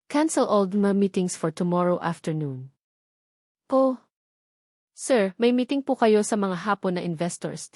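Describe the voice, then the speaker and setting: A woman's voice: medium pitch, darkish tone, no echo, studio quality. A woman with a Filipino accent narrating a scripted love story in a dramatic storytelling style.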